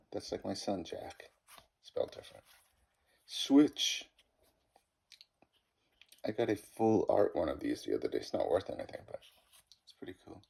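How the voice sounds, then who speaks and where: A man's voice: sits low, creaky, a little mellow, husky. A middle-aged man with a Boston accent, rambling casually while opening card packs and stumbling over card names. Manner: rambling, self-deprecating, fumbles card names. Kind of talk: casual pack-opening commentary.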